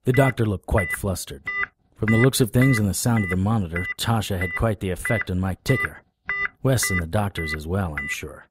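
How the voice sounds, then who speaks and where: A man's voice: low-pitched, brisk, heavy background noise, close to an everyday voice. An actor playing a wry, sarcastic hard-boiled private investigator who narrates his own noir audio drama. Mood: wry narration. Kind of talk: noir radio drama with first-person narration.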